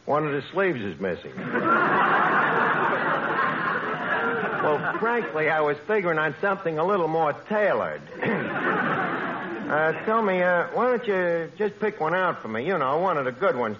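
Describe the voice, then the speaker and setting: An actor playing a brash, scheming, lowbrow tavern manager with a New York accent and would-be fancy words, in 1940s radio comedy style. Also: male voice, expressive, moderate pace, natural voice. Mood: cocky, wheedling. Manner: mangled grammar with pretentious fancy words, hemming. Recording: dull old radio recording, noisy.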